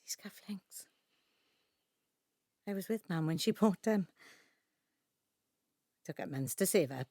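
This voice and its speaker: South Welsh daughter, lowish voice, guarded, hesitant, dry close sound, naturalistic audio drama. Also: female voice, lively intonation, takes it slowly, pauses often, dark tone, dry recording, exaggerated voice. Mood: wistful, upset. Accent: South Wales Welsh.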